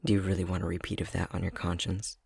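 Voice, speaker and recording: Male actor as clumsy young fox-shifter boyfriend, husky, close and dry, hesitant, self-deprecating, intimate ASMR roleplay. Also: low voice, little pitch movement, fast, a little mellow, no room sound, heavily acted. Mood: flustered, embarrassed.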